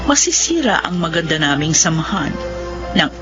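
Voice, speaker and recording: An actress playing Angela, a widowed mother pleading gently with her grown children. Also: sits low, sing-song, normal speed. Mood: wistful. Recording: muffled radio drama audio with music.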